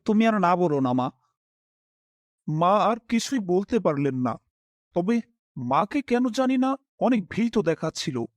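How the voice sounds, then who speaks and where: A man's voice: high voice, very animated, a little slow, long pauses, dry recording, clean studio sound. A man playing a worried, dutiful family man who tells his own misfortune as a horror story, with dramatic, emotive delivery. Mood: reassuring, insistent. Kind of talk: first-person horror story narration with acted dialogue.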